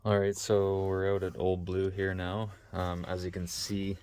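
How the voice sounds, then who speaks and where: A man's voice: low voice, completely flat delivery, a little slow, a little mellow, slight background noise. A man hosting a casual how-to vlog, talking informally and thinking aloud as he explains. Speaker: vlog host showing a DIY truck install.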